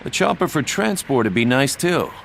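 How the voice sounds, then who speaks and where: A man playing a pragmatic, sociable young mercenary second-in-command in a game cutscene, smooth-talking and businesslike. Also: medium pitch, very animated, moderate pace, a little hiss or hum. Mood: keen, businesslike. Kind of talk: dramatic game cutscene acting. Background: loud dramatic music.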